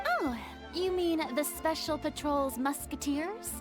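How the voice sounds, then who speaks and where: High, swooping young diva, pompous and dramatic, game cutscene, music clearly underneath. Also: female voice, animated, slow. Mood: businesslike, musing. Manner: pompous, dramatic, with flustered hesitations. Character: theatrical, self-important young female star director, secretly eager. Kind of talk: video game cutscene voice acting.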